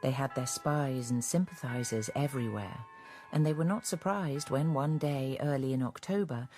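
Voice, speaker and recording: A man as a measured storyteller, narrating an animal fable in a scripted radio drama. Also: medium pitch, a little mellow, faint music behind, close to an everyday voice.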